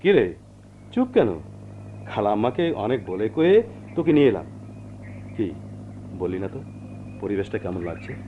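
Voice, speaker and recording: An actor playing a jovial, easygoing young forest officer in a radio drama, with a breezy, expressive delivery. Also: male voice, on the low side, moderate pace, long pauses. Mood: coaxing. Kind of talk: Bengali radio drama acting. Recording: muffled old radio drama recording, background noise.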